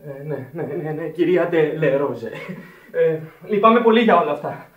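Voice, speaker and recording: An actor playing an eager, polite young American sailor in a radio drama, stammering, flustered and deferential. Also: male voice, fairly high pitch, lively intonation, phone-quality sound, natural voice. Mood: nervous. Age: young adult. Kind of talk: theatrical radio drama acting. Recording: roomy, distant old radio recording.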